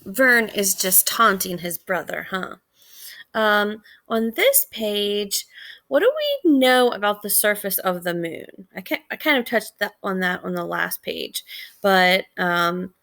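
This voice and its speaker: Female teacher, conversational explainer, unhurried with a creaky edge, poses questions as she goes. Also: medium pitch, a bit bright. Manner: conversational, asks questions, thinks aloud. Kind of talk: casual read-aloud lesson commentary.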